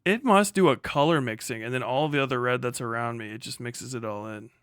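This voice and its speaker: A man streams casually in a close, dry recording with no room sound. He talks off the cuff in a medium-pitched voice, half to himself, and swears freely. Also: fairly quick, fairly bright tone, smooth, dry recording. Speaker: livestream host. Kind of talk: casual unscripted livestream chat.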